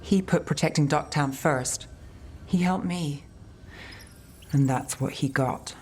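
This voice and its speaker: A woman's voice: low-pitched, slow pace, darkish tone, slight background noise. A woman voicing a world-weary, cynical female investigator in a video game, wry and resigned in clipped phrases. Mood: bitter, resigned.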